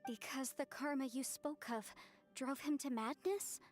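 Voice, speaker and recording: A gentle young woman in a video game. She speaks slowly and hesitantly in a high voice. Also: soft background music. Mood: concerned.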